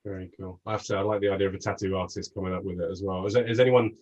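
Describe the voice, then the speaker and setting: A man's voice: deep voice, steady tone, racing, a little mellow, lo-fi sound. A man interviewing a brand's founders in a casual chat, hesitant with many fillers.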